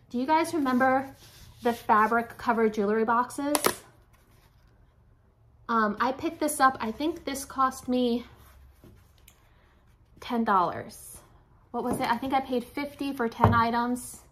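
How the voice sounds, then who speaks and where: A woman's voice: a little high, fairly bright tone. A woman in her forties, a little out of breath, chatting casually and rambling to viewers as she presents secondhand finds. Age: 40s. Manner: rambling, self-correcting, addresses viewers directly. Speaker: reseller showing flea market finds. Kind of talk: casual chatty haul vlog. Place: furnished room at home, indoors.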